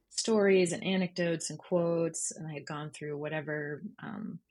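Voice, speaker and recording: A woman with a low voice and a husky edge speaks as a guest in an interview. She explains her work in a conversational, thoughtful way, often searching for the right word as she goes. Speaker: journalist guest. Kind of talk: conversational interview, explaining their writing process.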